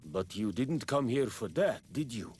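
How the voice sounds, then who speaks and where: An actor playing a grim, vengeful native man with a husky voice in a fantasy game. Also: mid-range voice, lively intonation, a little slow, darkish tone, a little hiss or hum, lightly acted. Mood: knowing. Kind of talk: scripted fantasy game acting.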